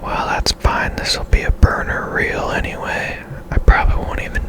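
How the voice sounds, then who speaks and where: A person whispering softly and breathily, musing tentatively to themselves in ASMR-style video game commentary. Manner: unhurried, musing to self, tentative. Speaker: gaming ASMR video creator.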